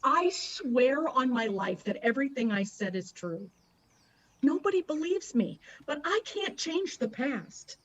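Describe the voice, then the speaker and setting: A woman's voice: high voice, very animated, measured pace, dull audio, slight background noise. A woman playing a stubborn, superstitious, scornful wife and mother in a radio play, emphatic and accusing. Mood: bitter. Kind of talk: dramatic radio play acting.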